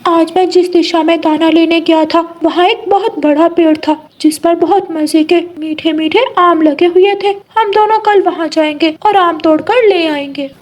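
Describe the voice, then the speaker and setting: A woman voicing a kindly, shrewd male parrot neighbour in an animated children's story. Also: very high-pitched, moderate pace, hardly any pauses. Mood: reassuring. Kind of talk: animated Hindi moral story dialogue.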